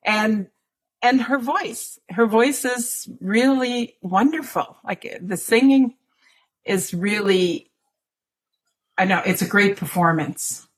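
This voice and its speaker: A woman interviewer speaks slowly with a husky, breathy tone. She backs her guest up often, dropping in quick agreements and warm praise. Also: mid-range voice. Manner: warmly affirming, many short agreements. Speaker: interviewer, host. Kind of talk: conversational interview.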